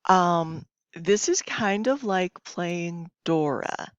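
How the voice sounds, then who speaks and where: A woman's voice: on the low side, extremely slow, smooth. A woman teacher giving a recorded lesson, reading slides aloud in an instructive way with short check-in questions. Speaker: Spanish teacher. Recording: dull lo-fi, roomy home recording.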